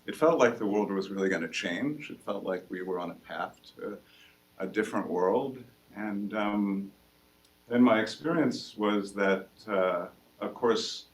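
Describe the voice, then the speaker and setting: Low-voiced older man on a roomy panel stage. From United States. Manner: thoughtful, with frequent ums and restarts. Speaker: panelist, sociology professor. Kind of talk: panel discussion. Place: university event hall. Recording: roomy hall heard from far off, faint noise.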